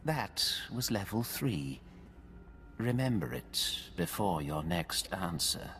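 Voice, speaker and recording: A slow deep cruel interrogator. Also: male voice, big pitch swings, fairly bright tone, heavily acted. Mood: menacing. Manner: slow, deliberate, menacing. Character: cold cruel male interrogator.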